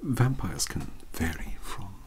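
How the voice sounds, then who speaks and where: A man with a British accent playing a bookish, polite young occult mentor in a soft-spoken, breathy ASMR roleplay, with formal, hesitant phrasing. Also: deep voice, big pitch swings, takes it slowly, full character voice. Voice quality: breathy, whispery. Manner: scholarly, formal, hesitant, wordy.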